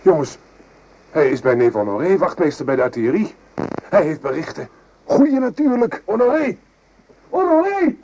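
A man playing an excitable French infantry soldier in a radio play, speaking in clipped, dramatic phrases. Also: a little high, normal speed, pauses often, highs cut off. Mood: excited. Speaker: actor. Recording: noisy old radio play recording.

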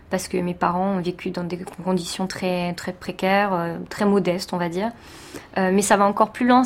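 A woman's voice: medium pitch, a little hiss or hum. A woman from France, a teacher, giving a reflective personal testimony in an interview, speaking thoughtfully with many hesitations.

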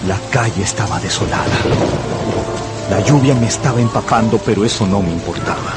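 An actor playing an anxious gambler who narrates his own ruin in a radio novel, brooding and intense, with dramatic pauses. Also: on the low side, loud music behind the voice, close to an everyday voice. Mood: shaken.

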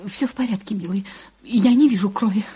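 Very husky, defiant starlet. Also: female voice, mid-range voice, a little fast, warm tone. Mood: nervous, soothing. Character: defiant Hollywood starlet, hardened killer hiding her nerves. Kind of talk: dramatic audio play acting.

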